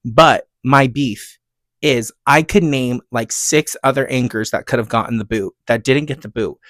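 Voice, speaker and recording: A man's voice: medium pitch, normal speed, a bit bright, dry recording. Chatty, gushing American man hosting a podcast. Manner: gushing, emphatic, rambling with tangents. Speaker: podcast host. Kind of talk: casual chatty solo podcast talk, music recommendations and rants.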